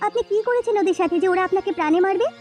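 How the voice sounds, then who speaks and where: A woman plays a kindly, concerned village housewife in a cartoon folktale. Her voice is extremely high and quick, with earnest, expressive delivery. Light music runs underneath. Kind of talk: Bengali cartoon folktale voice acting.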